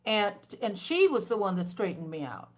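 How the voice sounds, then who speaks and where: A woman's voice: medium pitch, huge pitch swings, moderate pace. An older woman co-hosting an interview, chatting casually and expressively with her guest. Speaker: co-host. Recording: dull lo-fi audio.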